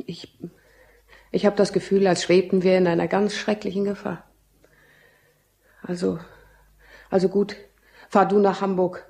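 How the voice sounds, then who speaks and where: A soft-toned, mellow female voice in a German radio drama portrays a capable wife worried about her drinking husband. She speaks earnestly and anxiously, pressing her point with insistence. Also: mid-range voice, little pitch movement, a little slow, vocal fry, long pauses. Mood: anxious, insistent.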